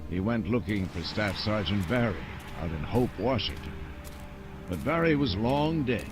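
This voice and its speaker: A man performs a grave, world-weary military storyteller in a game cutscene. The voice is deep and somber, with deliberate short phrases, under very loud music. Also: lively intonation, close to an everyday voice. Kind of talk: dramatic game narration.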